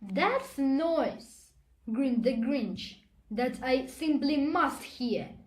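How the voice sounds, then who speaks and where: A woman's voice: sits high, very animated, very slow. A woman with a Russian accent reading a storybook aloud in verse. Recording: echoey room.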